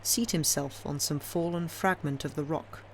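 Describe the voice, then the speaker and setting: A woman reading classic fiction aloud as an audiobook narrator, in a measured, expressive, dramatic storytelling style. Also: low-pitched, a little hiss or hum. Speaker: audiobook reader.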